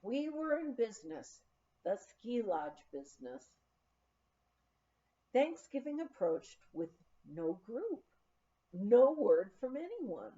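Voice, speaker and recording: A woman reading a memoir aloud as scripted narration, in an expressive storytelling manner. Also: on the high side, slow, pauses often, lo-fi sound. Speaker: audiobook reader.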